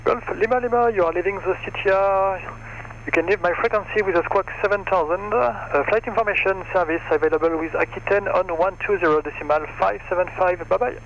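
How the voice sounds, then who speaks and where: A man with a French accent works as an air traffic controller. His high-pitched voice comes over aviation radio in procedural phrases. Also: brisk, creaky, highs cut off. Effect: aviation radio transmission.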